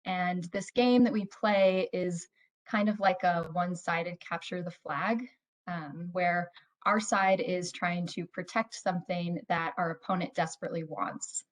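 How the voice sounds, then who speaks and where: Young woman, grad student, measured dramatic science story, lowish voice. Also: steady tone. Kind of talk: prepared storytelling talk.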